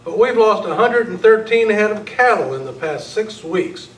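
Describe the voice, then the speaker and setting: Man playing high-voiced folksy security chief. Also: lively intonation, moderate pace. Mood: matter-of-fact. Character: plain-spoken male campus security chief. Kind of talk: amateur old-time radio script reading. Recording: roomy, distant camera mic, faint noise.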